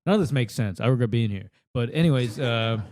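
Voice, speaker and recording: A man's voice: mid-range voice, lively intonation, a little fast, a little mellow, dry recording. A man from the United States doing an improvised, crude, self-deprecating comedy rant into a handheld mic at a table in a house. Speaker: comedian contestant. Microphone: handheld microphone.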